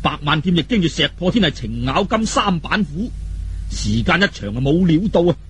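A man's voice: on the high side, sing-song, moderate pace, fairly bright tone, slight background noise. A man with a Cantonese accent tells a martial arts tale in a colloquial, dramatic storytelling style, full of exclamations.

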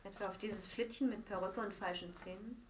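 A woman's voice: mid-range voice, steady tone, fairly quick, lightly acted. A woman acting in a radio play as a scornful, sceptical partner with a sardonic manner. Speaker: actor in a radio drama. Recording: echoey, distant, noisy background.

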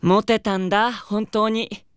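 A woman's voice: medium pitch, steady tone, slow, smooth, dry recording, clean studio sound, natural voice. A woman voicing a proud, haughty boy who dreams of being an idol, in a Japanese audio drama with curt boyish speech. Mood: proud, bitter. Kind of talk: Japanese anime-style audio drama acting.

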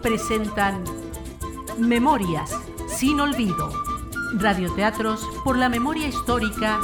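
A woman's voice: on the high side, lively intonation, slow pace, loud music behind the voice. A woman with a Chilean accent presenting and narrating a radio drama from a script.

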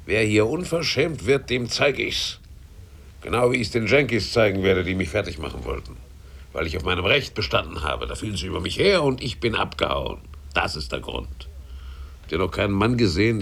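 An actor in a radio play voicing a shabby, grasping sailor drifter, gravelly, cocky and aggrieved in slangy speech. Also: male voice, on the low side, a bit bright, heavily acted. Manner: aggrieved, cocky, slangy, swinging from grumble to outburst.

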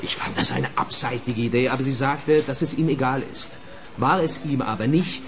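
An actor playing a callous, self-pitying young gigolo in a radio crime play, speaking in an offhand, grumbling way. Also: male voice, mid-range voice, normal speed, gravelly, lightly acted. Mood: self-pitying grumble.

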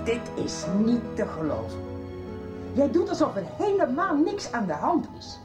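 A woman's voice: a little high, wildly swooping pitch, a little slow, echoey room, over music. A woman playing an outraged, unyielding middle-aged mother in a radio soap, emphatic and insistent. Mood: indignant, accusing. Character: outraged, unyielding mother confronting her daughter's abuser.

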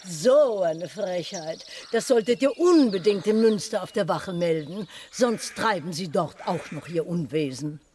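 An actress playing a brisk, shrewd woman who runs a travelling theatre troupe in a children's radio play. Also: lowish voice, lively intonation, dark tone, a little hiss or hum. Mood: cool, firm.

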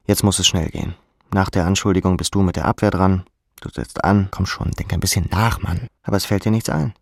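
Male audiobook reader, fairly low with heavy creak, close dry sound, literary prose. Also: steady tone, a little fast, no room sound. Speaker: audiobook narrator. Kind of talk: literary second-person narration, present tense.

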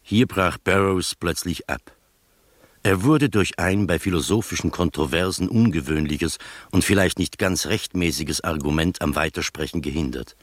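A composed gentleman narrator tells his own adventure in a radio play. His voice is deep and recorded very dry. The delivery is scripted, literary storytelling. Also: normal speed, no echo. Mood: measured, recounting.

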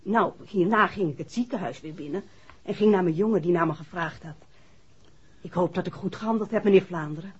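A woman's voice: fairly low pitch, fairly quick, darkish tone, slightly rough. An actress playing an anxious but determined mother in a 1960s Dutch radio drama, earnest and deferential. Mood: anxious, resolute. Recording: dull, muffled vintage radio drama recording.

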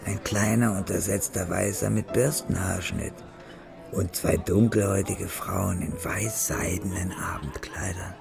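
Noir radio play narrator: cool, deep, heavily creaky, music bed. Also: male voice, fairly even intonation, measured pace, full character voice. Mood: measured. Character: cool, laconic male crime-story narrator.

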